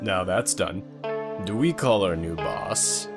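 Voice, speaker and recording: A man's voice: deep voice, animated, slow pace, music in the background, pitched below the performer's own voice, heavily acted. A man voicing a smug, menacing gang thug in an audio drama, taunting and gloating.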